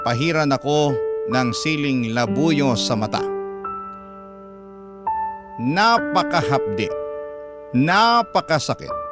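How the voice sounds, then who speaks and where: A man hosting a radio drama, reading a listener's letter aloud as slow, emotional narration. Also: on the high side. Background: loud background music.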